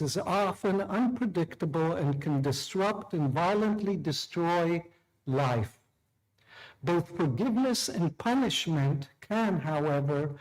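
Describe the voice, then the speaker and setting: A middle-aged man from Israel, a law professor, delivering a measured, deliberate prepared speech with small restarts. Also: a little high, a little mellow, poor audio. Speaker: law professor opening a tribunal.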